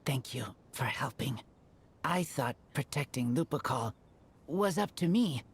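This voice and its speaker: An actor voicing a reserved young wild boy raised by wolves in a video game, soft and breathy, in terse halting phrases. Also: mid-range voice, expressive, a little hiss or hum. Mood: grateful, quiet. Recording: game audio with faint music.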